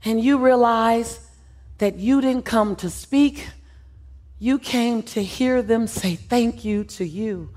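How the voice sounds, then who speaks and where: A woman leading a guided visualization, soft and slow in the calm passages and rising loud and emphatic when she voices the crowd. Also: on the high side.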